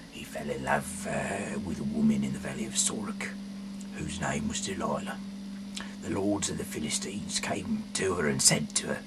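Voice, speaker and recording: A man reading scripture aloud in a halting, rambling way, often correcting himself and dropping in casual asides. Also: rumbling low, noisy background. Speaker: amateur reader of a Bible audio series.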